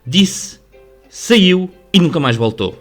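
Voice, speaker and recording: A male narrator reads a classic story for radio in a slightly high voice. His European Portuguese delivery is formal and measured, a literary storyteller's reading over soft music. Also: animated. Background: music under the voice.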